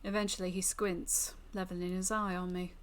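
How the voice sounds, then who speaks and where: A woman's voice: fairly low pitch, level tone, moderate pace. A woman streamer reading a visual novel aloud as dramatic story narration.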